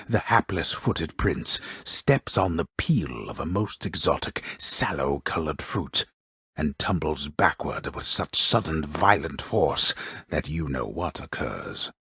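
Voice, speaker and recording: An actor playing a grave, wry storyteller in a mythological video game, narrating in grandiose, deliberate, archaic phrases with a gravelly, husky voice. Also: male voice, extremely low pitch, fairly bright tone, no echo, clean studio sound, heavily acted. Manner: grandiose, deliberate, drily mocking. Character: grave, wry, omniscient male storyteller of Greek myth. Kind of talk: theatrical scripted narration with archaic wording.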